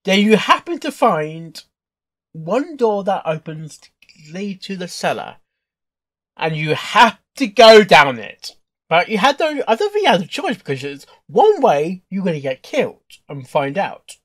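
A man hosting a reaction video with casual, informal commentary, halting and rambling as he thinks aloud. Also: sits high, sing-song, fairly bright tone. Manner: halting, repeats and rambles through thoughts. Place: indoors at a computer.

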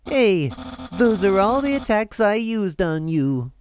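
A woman's voice: mid-range voice, very animated, takes it slowly, darkish tone, slight background noise. A woman voicing a goofy, boastful yet earnest male skeleton in a theatrical, declaiming manner for a video game dub. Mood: enthusiastic.

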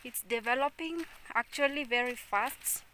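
A woman's voice: on the high side, moderate pace, pushed and bright, a little hiss or hum, low-quality recording. A middle-aged Kenyan woman vlogging as she walks along a rural road, chatting to her viewers as "guys", recorded on a phone held at arm's length. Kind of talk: walking vlog chat. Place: rural roadside outdoors.

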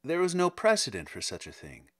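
A man reading literary fiction aloud as an audiobook narrator, with measured, solemn delivery and theatrical emphasis. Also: a little high, wildly swooping pitch, fairly bright tone. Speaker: audiobook reader.

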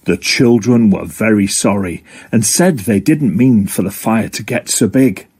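A man narrating a scripted children's story in an expressive, deliberate way. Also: on the low side, slightly rough.

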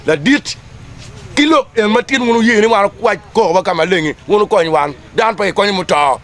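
Middle-aged Ugandan male, high-pitched, speaking publicly for his community group. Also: fairly quick, a bit bright. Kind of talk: spoken public statement to camera. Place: outdoors under trees among a gathering.

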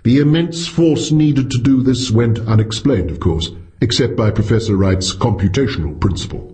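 Guy narrating a short story audiobook, really expressive pitch, mid-range voice. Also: normal speed. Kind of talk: scripted literary narration.

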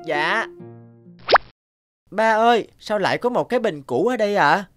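A kind young cartoon schoolboy, played by a woman, earnest and given to musing. The pitch is moderate, and music plays clearly behind the voice. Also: lively intonation, measured pace, close to an everyday voice. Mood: polite, curious. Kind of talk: animated cartoon dubbing. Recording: prominent music under the voice.

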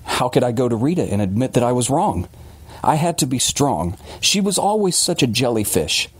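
A man's voice: mid-range voice, big pitch swings, moderate pace, breathy edge, no echo, voice pushed up. A man playing an agitated, defensive working-class father confessing a horror in blunt, colloquial words, as in an audiobook. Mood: haunted, anguished.

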